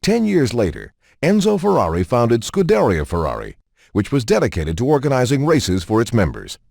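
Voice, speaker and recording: A man reading scripted promotional narration in a smooth, measured way. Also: medium pitch, huge pitch swings, darkish tone, dry recording, clean studio sound.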